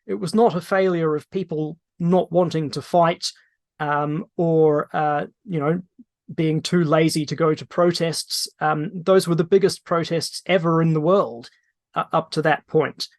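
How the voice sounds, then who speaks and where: A man answering audience questions at an online political meeting, talking in a halting way with many restarts and fillers over a video call microphone. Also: fairly high pitch, steady tone. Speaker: main speaker answering questions.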